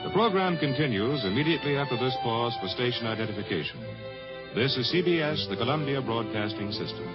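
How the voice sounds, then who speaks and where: A man's voice: medium pitch, lively intonation, fairly quick. An American man announcing a 1950s radio program in a solemn, declamatory, dramatic style. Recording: dull vintage 1950s radio broadcast. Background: music.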